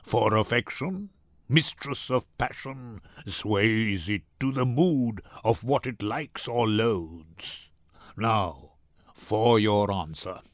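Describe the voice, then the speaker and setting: Vengeful older moneylender , played by a man: defiant, biting, unhurried Shakespearean delivery, dry sound. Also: on the low side, expressive, no echo. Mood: taunting defiance. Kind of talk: Shakespearean dramatic reading.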